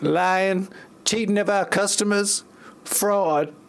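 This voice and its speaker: A man's voice: high-pitched, fairly even intonation, slow pace, creaky, exaggerated voice. A man playing a guilty insurance representative in an amateur parody audio play. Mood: confessing. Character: guilty male insurance representative confessing fraud.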